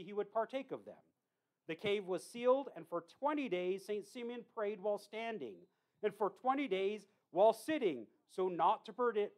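A deacon preaches in a small icon-lined church, his voice sitting fairly high for a man. He tells the story earnestly, slipping in small personal asides and correcting himself as he goes. The sound is picked up by a camera at the back of the room. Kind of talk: homily retelling a saint's life.

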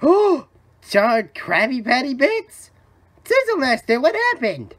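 A man voicing a cheerful, gullible young sea sponge fry cook for a cartoon, eager and naive. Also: extremely high pitch, wildly swooping pitch, takes it slowly, fairly bright tone, full character voice. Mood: alarmed. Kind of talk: cartoon fan voice acting.

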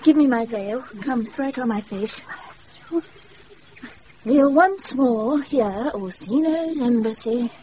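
A woman's voice: fairly high pitch, dark tone, dull audio. A British actress playing a proud, wry young noblewoman in a Shakespeare radio play, speaking imperiously, recorded off a wireless with some noise. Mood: commanding. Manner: imperious, dry, measured.

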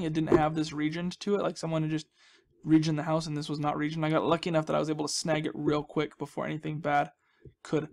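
Male gaming host, slightly high register. Chatty, jokey, self-deprecating, loose off-the-cuff play commentary. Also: vocal fry. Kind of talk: casual rambling gameplay commentary.

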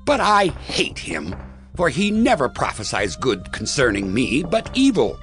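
A man's voice: medium pitch, huge pitch swings, a little slow, a bit bright, soft background music. A man playing an imperious, resentful king in a dramatized audio Bible, speaking in a commanding, theatrical way.

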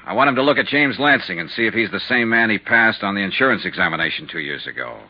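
A dull, muffled 1950s radio recording carries a hard-nosed American investigator. His voice is a little rough and swings widely in pitch. He speaks in clipped, insistent phrases, like classic detective radio drama. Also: lively intonation, fast. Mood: determined. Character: brisk, hard-nosed male freelance insurance investigator. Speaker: lead actor and narrator.

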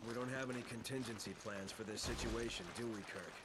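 An actor playing a tough, stoic American special agent in a video game, dry and matter-of-fact. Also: male voice, medium pitch, fairly even intonation, brisk, very noisy, natural voice. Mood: dry, serious. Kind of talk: video game cutscene acting. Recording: roomy echo, clear background ambience.

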